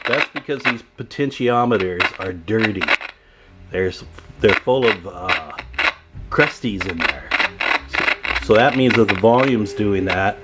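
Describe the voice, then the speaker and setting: A man's voice: lowish voice, expressive, measured pace, very noisy. An American man giving a casual hands-on demonstration as a repair technician, plainspoken and folksy.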